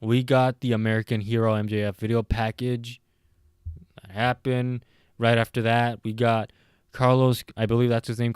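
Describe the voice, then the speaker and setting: A man with a clear, mid-pitched voice that is a little worn from talking late at night. He reviews a show in a casual, rambling way, often pausing and correcting himself. The sound is close and dry. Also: darkish tone, no room sound. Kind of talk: casual recap and opinion commentary.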